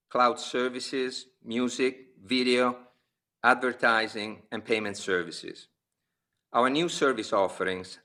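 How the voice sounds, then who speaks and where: Bright-voiced man reading earnings remarks. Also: mid-range voice. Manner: measured, steady delivery of figures with brief pauses between list items. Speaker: company executive presenting quarterly results. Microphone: conference call line.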